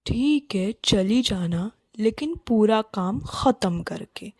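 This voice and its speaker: A woman voicing a scheming, bossy rich mistress in a children's cartoon, sharp, haughty and scornful in manner. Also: medium pitch, expressive, slow, husky, no room sound, full character voice. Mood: stern, scornful. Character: scheming, bossy wealthy mistress scolding her maid. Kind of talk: Hindi children's cartoon story acting.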